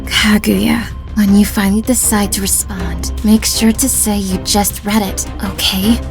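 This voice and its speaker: A woman plays a young anime maid, composed and long-suffering. Her voice has a marked creak as she gives patient, measured instructions. Music runs clearly underneath. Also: medium pitch, fairly even intonation, close to an everyday voice. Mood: patient, concerned. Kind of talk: anime fan dub acting.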